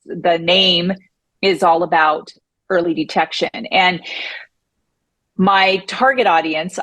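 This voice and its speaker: A woman's voice: fairly low pitch, a bit bright, no room sound. A woman speaking as a podcast guest, talking emphatically and with self-assurance. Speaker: podcast guest, breast cancer survivor. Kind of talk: conversational interview.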